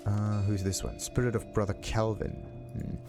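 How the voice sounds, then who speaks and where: A man's voice: fairly low pitch, level tone, moderate pace, music in the background. A man giving casual, rambling video game commentary as a let's play host, thinking aloud to himself.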